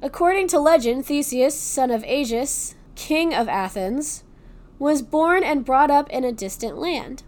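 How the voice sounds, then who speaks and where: High-voiced sarcastic American woman storyteller. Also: expressive, a little slow. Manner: sarcastic, swears, laughs at the story. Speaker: podcast storyteller. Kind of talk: casual mythology retelling.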